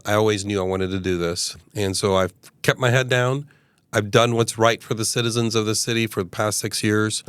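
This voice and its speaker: A man's voice: on the low side, smooth, no room sound. A man in his fifties from Uganda, a mayoral candidate telling his life story in a casual interview, earnest and expansive with frequent fillers. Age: 50s.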